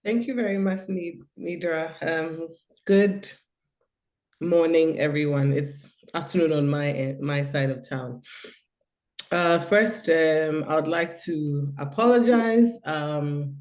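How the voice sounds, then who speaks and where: A woman's voice: low-pitched, slow, darkish tone. Woman w a cold, kinda nasal, talking over a video call, lots of ums. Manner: hesitant, many fillers. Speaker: guest speaker. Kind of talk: online presentation.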